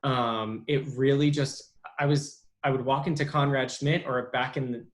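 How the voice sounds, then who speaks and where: A young adult man as an interview guest, talking casually with many pauses and restarts. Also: medium pitch, fairly even intonation. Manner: halting, lots of ums and restarts. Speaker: interview guest, bilingual speech therapist.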